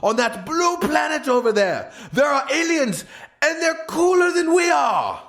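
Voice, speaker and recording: A man's voice: very high voice, big pitch swings, measured pace, fairly bright tone, pitched above the performer's own voice, full character voice. A man voicing friendly, easygoing space aliens in a chirpy, exaggerated way for a comic story. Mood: chirpy, casual.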